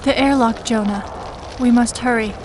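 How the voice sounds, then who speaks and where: A woman's voice: a little high, fairly even intonation, normal speed, natural voice. An actress playing an urgent, loyal female synthetic companion in a sci-fi audio drama. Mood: urgent pleading. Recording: very noisy ambience behind voice.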